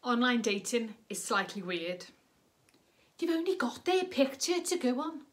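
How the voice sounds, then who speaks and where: A woman's voice: on the high side, very animated, measured pace. A woman performing a comic monologue as a fussy, dry-humoured online dater telling of her romance. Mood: wry. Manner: wry, pedantic, matter-of-fact.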